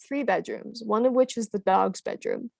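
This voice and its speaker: A woman co-hosting a livestream in casual chat, upbeat and quick to agree, talking over a video call. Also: fairly high pitch, vocal fry, a little mellow, dry recording. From United States. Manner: upbeat, quick agreeing interjections, frequent laughs. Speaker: co-host of a translation livestream.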